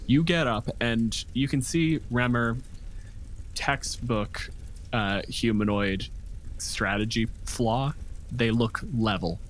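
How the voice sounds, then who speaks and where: A man's voice: mid-range voice, slow pace, poor audio. Man game master with background noise. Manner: swears casually. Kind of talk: casual narrating of a tabletop game.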